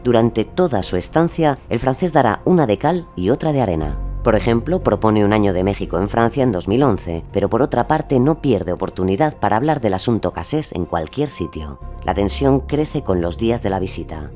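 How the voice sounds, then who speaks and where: A man's voice: lowish voice, lively intonation, moderate pace, dark tone, faint music behind. A man narrating a scripted documentary drama.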